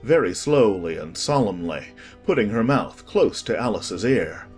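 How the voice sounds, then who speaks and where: An audiobook narrator reading a children's story in a measured, expressive scripted style. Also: mid-range voice, faint music behind.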